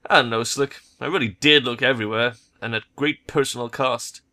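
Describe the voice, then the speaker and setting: A heartbroken cyberpunk hacker played by a man reading aloud, with a bright, forward voice at an ordinary male pitch. He is a hurt, worn-down teammate in a video game, weighed down by loss and debt. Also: fairly even intonation, brisk, close to an everyday voice. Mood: resigned.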